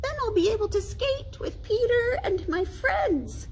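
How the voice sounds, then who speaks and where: A woman's voice: very high voice, animated, a little slow, slight background noise, voice pushed up. A woman voicing a hopeful, frail little boy in a storybook, childlike and earnest. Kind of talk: storybook character voice.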